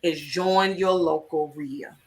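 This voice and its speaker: A woman's voice: low voice, steady tone, slow, far from the mic. A woman hosting a live talk show, giving emphatic numbered tips in a teaching tone. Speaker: host. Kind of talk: motivational live-stream talk.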